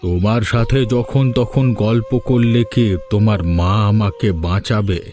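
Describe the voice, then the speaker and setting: A man voicing a lonely older father-in-law in a cartoon story, speaking gently and wearily. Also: on the low side, unhurried, music in the background, natural voice. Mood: gentle. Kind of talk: cartoon voice acting.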